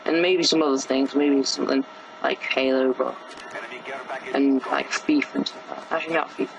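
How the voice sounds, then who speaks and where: Teen boy, sore gravelly throat, flat rambling game commentary, faint hiss behind. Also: medium pitch, moderate pace, muffled recording. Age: teens. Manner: rambling, many fillers and restarts. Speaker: gameplay commentator. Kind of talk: casual gameplay commentary.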